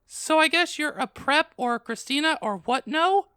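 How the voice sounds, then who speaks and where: Woman as a bright, dramatic goth teenage girl. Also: sits high, lively intonation, normal speed, dry recording, studio quality. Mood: indignant.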